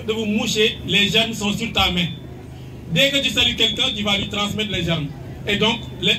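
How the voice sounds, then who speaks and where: Man, school official, lecturing pupils; high voice, distant mic, loud background noise. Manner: didactic, repeats key points, asks rhetorical questions. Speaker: school official addressing students. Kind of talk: speech to students at a school event.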